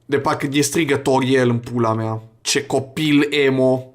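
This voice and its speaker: A man's voice: medium pitch, fairly even intonation. A man giving casual, crude and joking gameplay commentary, reading game text aloud as he goes. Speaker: gaming video host. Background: video game music.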